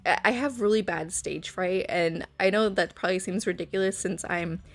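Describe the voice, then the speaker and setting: Nervous rambling woman with a gravelly voice. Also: fairly low pitch, normal speed. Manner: nervous, rambling, many fillers and restarts. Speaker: Sims speed build creator. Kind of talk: casual voice-over chat. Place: bedroom at home. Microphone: phone. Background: soft background music.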